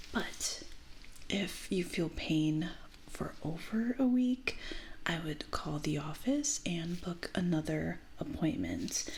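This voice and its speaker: Slow, whispering ASMR woman. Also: on the low side, slight background noise, low-quality recording. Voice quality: breathy whisper. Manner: gentle, reassuring, soft-spoken. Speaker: ASMR roleplayer playing an audiologist. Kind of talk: ASMR medical roleplay.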